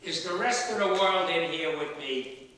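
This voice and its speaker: A man's voice: on the high side, measured pace, bright, forceful tone. An actor playing a stubborn, feisty ninety-seven-year-old father in a stage play, defiant, wry and emphatic. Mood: indignant. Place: theatre stage, distant microphone. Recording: echoey, noisy stage recording from far off.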